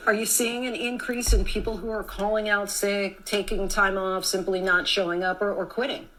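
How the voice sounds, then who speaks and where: A woman's voice: mid-range voice, little pitch movement, moderate pace, fairly bright tone, rough recording. Female news anchor type, middle register, putting questions to an interview guest.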